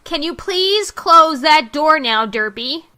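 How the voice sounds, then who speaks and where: A woman's voice: high voice, animated, slow pace, bright, forceful tone, heavily acted. A woman voicing a bossy, motherly female cartoon pony in a fan audio drama, chiding but not unkind.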